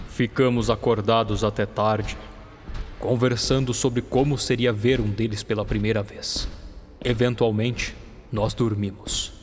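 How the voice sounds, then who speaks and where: A man with a Brazilian accent giving dramatic first-person narration for an audio drama. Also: on the low side. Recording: music bed under the voice. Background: music.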